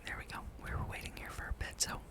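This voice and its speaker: A person whispering a relaxed ASMR gameplay commentary, breathy and rambling, with dry, wry asides. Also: very fast. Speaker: host of a gaming ASMR channel.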